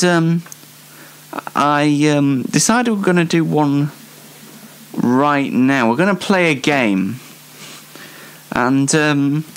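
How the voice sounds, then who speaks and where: A man hosting a casual solo radio-style show, rambling along in a whimsical, self-deprecating way. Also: fairly high pitch. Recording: music bed behind the voice. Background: loud plucked guitar music bed.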